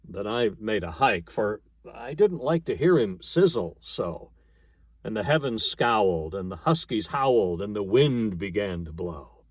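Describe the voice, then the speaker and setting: A man narrates a dramatic poem at a slow, measured pace. His voice is somewhat husky, recorded dry without room sound. The delivery is rhythmic, in the manner of a storyteller. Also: on the low side, sing-song, dry recording. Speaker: narrator, poetry reader. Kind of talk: dramatic narrative poetry reading.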